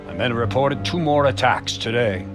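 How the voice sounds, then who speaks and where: An actor playing a dutiful, businesslike medieval guard captain giving a report in a game cutscene. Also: male voice, medium pitch, expressive, moderate pace, over music, natural voice. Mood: grave. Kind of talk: dramatic video game cutscene acting.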